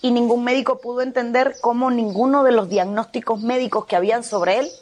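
A woman's voice: fairly high pitch, steady tone, brisk, a little mellow. A middle-aged woman pastor from Cuba, with a Cuban Spanish accent, telling her testimony with fervent earnestness in a TV interview.

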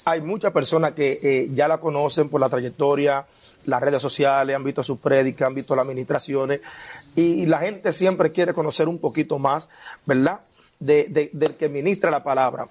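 High-voiced TV host. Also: male voice, fairly even intonation, moderate pace, darkish tone. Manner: affirming, interjects praise. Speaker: TV interviewer.

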